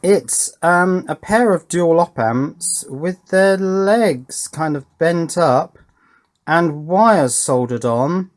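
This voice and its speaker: A man's voice: a little high, takes it slowly. Man thinking aloud at the workbench. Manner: thinking aloud while working, talking to himself. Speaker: electronics hobbyist presenter. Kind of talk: casual hands-on demonstration commentary.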